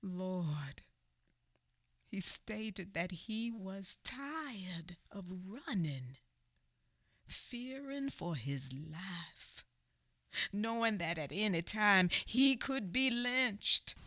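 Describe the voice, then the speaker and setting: Slow, sorrowful woman storyteller. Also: medium pitch, lively intonation, bright, forceful tone, heavily acted. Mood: sorrowful, reflective. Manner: emotive, halting, repeats phrases, murmured asides. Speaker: narrator. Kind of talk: dramatic radio theatre storytelling.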